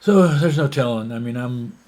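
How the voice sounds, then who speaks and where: A man's voice: medium pitch, lively intonation, normal speed, darkish tone. A man from the United States giving a casual, rambling opinion monologue, hesitant and full of fillers and restarts.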